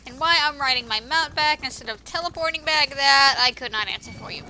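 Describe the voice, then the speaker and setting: A woman with a very bright, sharp, high voice hosts a casual gaming video. She comments in an offhand, sarcastic way. Game music plays clearly under her voice. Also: fairly quick, poor audio. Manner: sarcastic, mildly profane asides.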